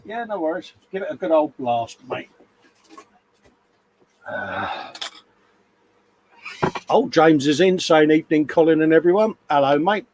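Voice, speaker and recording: A man's voice: fairly high pitch, expressive, a little slow, long pauses, darkish tone, low-quality recording. A man co-hosting a live hobby stream, chatting casually about his models and reading out viewer comments. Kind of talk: casual chat.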